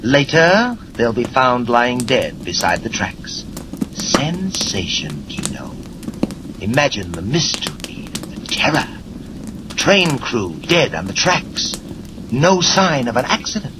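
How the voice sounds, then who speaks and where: Smug, menacing villain, swooping delivery. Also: male voice, medium pitch, wildly swooping pitch, slow pace. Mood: coldly menacing. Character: cold, gloating male crime boss villain. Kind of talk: 1940s radio serial acting. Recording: noisy vintage radio recording.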